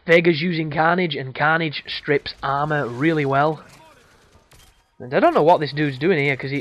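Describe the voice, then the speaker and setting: A man with a hoarse voice, giving casual, crude, rambling commentary over a game walkthrough. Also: fairly high pitch, fairly bright tone. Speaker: gameplay commentator.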